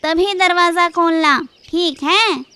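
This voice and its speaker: Very high-voiced cartoon mother goat, played by a woman. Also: takes it slowly, pushed and bright, full character voice. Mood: instructive. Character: caring mother goat instructing her little kids. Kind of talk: children's cartoon story acting.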